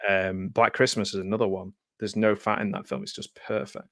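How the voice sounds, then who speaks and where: A man's voice: fairly low pitch, flat delivery, fairly quick, gravelly, a bit bright, no echo, clean studio sound. A young man with a Stockport accent from Greater Manchester, chatting casually and joking while hosting a film commentary. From England. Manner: chatty, jokey, laughs often. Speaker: commentary host.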